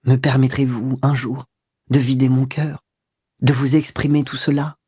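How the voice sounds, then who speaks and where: A man voicing an ardent young man who pleads his love in low, fervent tones. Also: flat delivery, moderate pace, mellow, slightly rough, dry recording. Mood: pleading.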